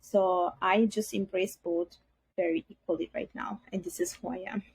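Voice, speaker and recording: A woman's voice: medium pitch, fairly even intonation, normal speed, breathy edge, no echo, poor audio. A young woman with an Italian accent, a podcast guest talking reflectively about her life, sometimes repeating words as she gathers her thoughts. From Italy. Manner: reflective, repeats words while searching for them. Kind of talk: candid personal interview.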